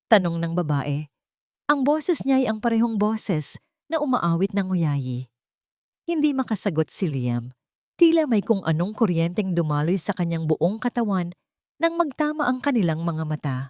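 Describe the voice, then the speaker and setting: A Filipino woman narrates a scripted love story in a fairly low register. Her delivery is measured and dramatic, in the manner of a storyteller. The sound is dry, with very little room in it. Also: very animated, darkish tone, dry recording.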